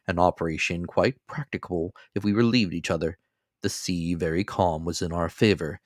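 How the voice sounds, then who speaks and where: A man's voice: deep voice, dry recording, studio quality. A man reading classic fiction as a dramatic, scripted audiobook narration. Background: faint music.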